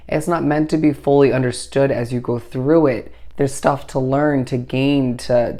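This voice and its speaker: A tarot reader talking straight to camera, hoarse from a recently lost voice. The delivery meanders through intuitive hunches and keeps turning back to the listener with reassurance. The pitch sits right in the middle. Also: dark tone. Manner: reassuring, addresses the listener directly. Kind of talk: solo tarot reading to camera.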